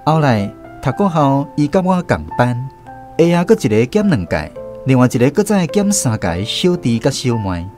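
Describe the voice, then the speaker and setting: A man's voice: mid-range voice, big pitch swings, normal speed, a little mellow, faint music behind. A man with a Taiwanese accent narrates a radio drama as a first-person storyteller.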